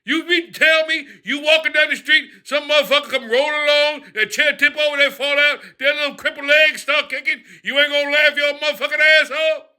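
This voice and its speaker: Guy putting on a super high, sharp-edged loudmouth, swearing and yelling nonstop. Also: fairly even intonation, a little fast, few pauses, full character voice. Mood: ranting. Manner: profane ranting, shouting, mocking. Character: foul-mouthed loudmouth man named Crazy Rufus. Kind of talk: comedic character rant.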